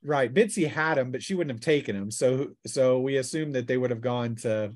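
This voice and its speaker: Male game master, casual, conversational tabletop narration, average pitch. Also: moderate pace, a little mellow.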